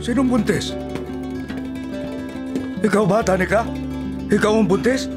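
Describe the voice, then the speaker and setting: A man's voice: sits high, a little slow, loud music behind the voice. An actor playing a stern, demanding father of grown children in a radio drama. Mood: stern, outraged.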